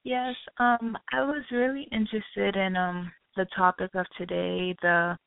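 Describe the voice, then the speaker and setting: A woman's voice: mid-range voice, flat delivery, unhurried, vocal fry, no room sound. A young woman from Haiti calling a radio show, talking eagerly in long rambling sentences and apologizing for babbling. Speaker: caller. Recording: tinny phone line.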